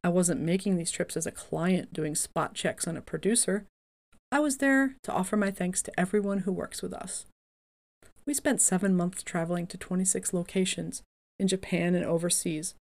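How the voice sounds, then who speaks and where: Woman voicing a modest older male founder, measured, low and gravelly. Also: fairly even intonation, a little mellow, close to an everyday voice.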